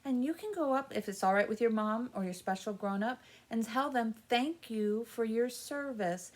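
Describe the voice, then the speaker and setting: A woman's voice: on the high side, normal speed. A woman hosting a children's story time, explaining things in simple, encouraging words addressed to young kids. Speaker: library story time host.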